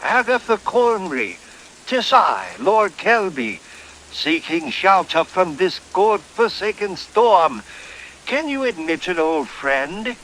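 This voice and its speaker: A man's voice: sits high, big pitch swings, slow, fairly bright tone, heavily acted, poor audio. A man playing a genteel, formal lord in an old-time horror-comedy radio play, with courtly, theatrical, old-fashioned diction. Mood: imploring. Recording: hissy track with rain noise. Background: rain and hiss.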